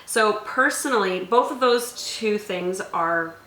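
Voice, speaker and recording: A woman's voice: mid-range voice, lively intonation, measured pace, sharp tone. A woman hosting a tabletop roleplaying show, talking in a casual, explanatory way. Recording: roomy echo, faint background noise.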